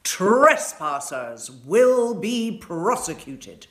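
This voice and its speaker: A woman voicing a gruff, selfish male storybook giant, speaking slowly and emphatically. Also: fairly low pitch, big pitch swings, fairly bright tone, reverberant, heavily acted. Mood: gruff, angry.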